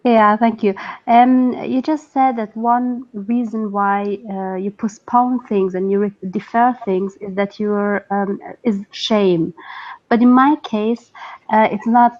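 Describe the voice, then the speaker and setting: A woman from Afghanistan speaking as a caller on an audio-only line, conversational and hesitant, pausing between phrases. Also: mid-range voice, steady tone. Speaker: caller, student and mother. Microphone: audio-only internet call. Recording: dull, lo-fi call audio.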